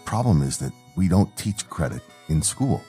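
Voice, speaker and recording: A man's voice: sits low, sing-song, moderate pace, mellow, faint music behind. A man who hosts a show, reading a scripted promotional advertisement. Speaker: podcast host advertising his show.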